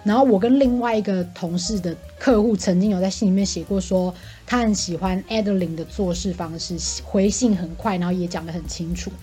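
A woman's voice: medium pitch, brisk, few pauses, mellow, soft background music. A woman with a Taiwanese Mandarin accent telling office anecdotes in casual, chatty, exasperated conversation. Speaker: podcast co-host venting about office life.

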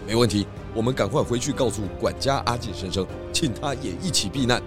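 A man with a Taiwanese accent voicing a calm, authoritative pig detective in a children's audio drama, with a measured, explanatory manner. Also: medium pitch, music in the background, close to an everyday voice. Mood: urgent, composed. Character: calm, authoritative male pig detective who loves food.

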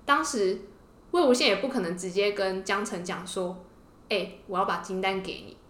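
A woman's voice: medium pitch, moderate pace, a bit bright. A woman with a Taiwanese Mandarin accent hosting a podcast, telling and explaining a story in a casual way. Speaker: podcast host. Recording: roomy, echoing podcast room.

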